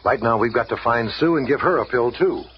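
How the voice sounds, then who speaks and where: An actor playing an earnest male scientist hero in a 1970s radio drama, explaining things with lively intonation on a dull hissy recording. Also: mid-range voice, brisk, heavy reverb, natural voice.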